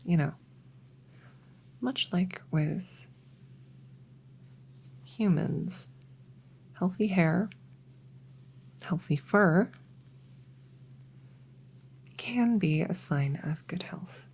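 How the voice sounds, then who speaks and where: A woman plays a reassuring witch veterinarian in a soft ASMR roleplay. Her voice is low and mellow with a husky edge. She talks in a soothing, slightly hesitant bedside manner, gently chatting to a nervous patient. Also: extremely slow, long pauses, exaggerated voice, poor audio. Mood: calm, attentive.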